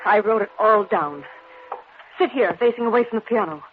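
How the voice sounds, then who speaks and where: A woman's voice: medium pitch, animated, normal speed, close to an everyday voice. An actress playing an anguished, earnest woman in love in a breathless, melodramatic 1940s radio style. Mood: anguished resolve. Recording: tinny, noisy 1940s radio recording.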